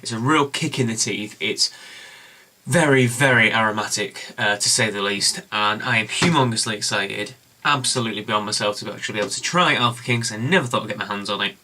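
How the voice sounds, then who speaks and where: A young Englishman reviewing a beer at home in a casual vlog, enthusiastic and rambling with plenty of fillers, his voice slightly hoarse. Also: lowish voice, brisk, sharp tone, a little hiss or hum. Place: home room with bookshelves, indoors.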